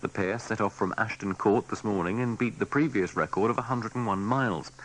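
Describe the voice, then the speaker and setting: A man's voice: lowish voice, fairly quick, poor audio. A man reading a scripted radio news bulletin. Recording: archive radio tape, faint noise.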